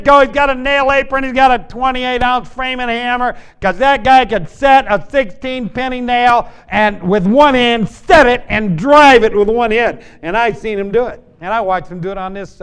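An older American man preaching a folksy revival sermon in a small church, telling stories and shouting at the high points. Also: moderate pace, clear, resonant tone. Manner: storytelling, shouts on climaxes, jokes with congregation. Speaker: evangelist. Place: small church sanctuary at a pulpit. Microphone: fixed camera from the pews.

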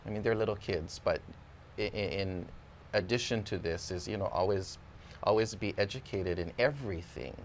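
A man, talking casually and haltingly as an interview guest, circling back over his phrases. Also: deep voice. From Hawaii. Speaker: interview guest, language teacher and musician. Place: television studio. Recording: studio with clear background noise.

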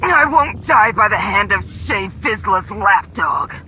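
A woman playing a hot-tempered, defiant gang leader in a video game, sneering and forceful. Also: medium pitch, very animated, takes it slowly, soft background music, exaggerated voice, poor audio. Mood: scornful, defiant. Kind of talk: video game cutscene acting.

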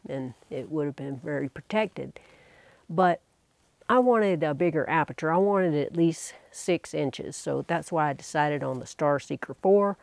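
Woman, sixties, slightly low register. Casual vlog host, unhurried and plain-spoken, dry wit. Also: darkish tone, dry recording. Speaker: vlogger, amateur astronomer. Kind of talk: casual explanatory vlog to camera.

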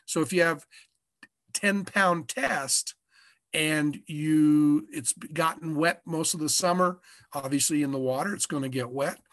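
Older man, American accent, higher-pitched voice, halting instructional talk with repeated words, dry close sound. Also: fairly bright tone, no room sound. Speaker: fishing instructor. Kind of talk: informal instructional presentation. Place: small indoor room, curtain backdrop. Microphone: webcam video call.

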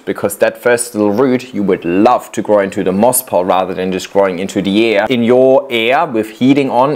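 A man with a somewhat low voice talks through plant-care advice in a loose, chatty way. He drifts between points with small fillers and restarts. It sounds like an easygoing creator commenting on viewers' clips. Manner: relaxed, chatty, giving practical tips with fillers. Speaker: plant content creator reacting to viewer videos. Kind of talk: casual explanatory commentary.

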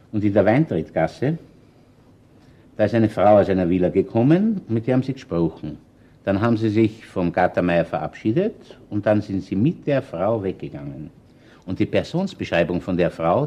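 A shrewd Viennese police inspector in a radio crime play. He has a low voice and an Austrian accent, and he questions people with measured authority. The old recording sounds dull. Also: mellow. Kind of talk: radio play acting, natural dialogue.

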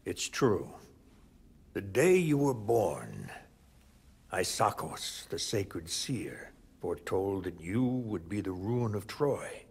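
A man's voice: deep voice, sing-song, slow pace, gravelly, a little mellow, slightly rough, heavily acted. An actor playing an old, commanding, guilt-ridden king of Troy in a video game, with a regal, weighty delivery. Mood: moved, solemn. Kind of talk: dramatic video game acting.

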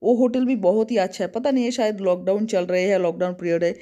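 A woman's voice: medium pitch, steady tone, fast, dark tone, highs cut off. A woman travel vlogger from West Bengal chatting casually on a road trip, listing places and costs as she goes, recorded on a phone held at arm's length in a car and outdoors.

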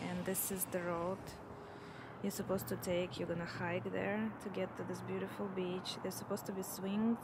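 A woman's voice: on the low side, normal speed, echoey room, very noisy. Chatty young woman vlogging on a trip. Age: young adult. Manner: chatty, relaxed, with fillers like "like" and "you know". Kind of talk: casual travel vlog. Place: hotel bathroom and outdoor viewpoints. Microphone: handheld camera at arm's length.

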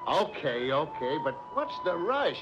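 An actor playing a slangy, dim-witted police sidekick in a 1940s radio mystery, flippant and quick to protest. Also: male voice, fairly high pitch, big pitch swings. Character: cheeky, dim-witted male cop sidekick, wisecracking. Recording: muffled 1940s radio recording, faint noise.

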